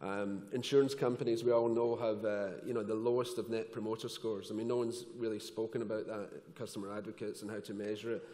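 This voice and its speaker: A man talks casually on a panel through a headset mic. His voice sits on the low side, and a long hall reverb trails his words. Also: a little fast, hardly any pauses. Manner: relaxed, joking, conversational. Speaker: insurance executive panelist. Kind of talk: conference panel discussion. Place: large conference hall stage.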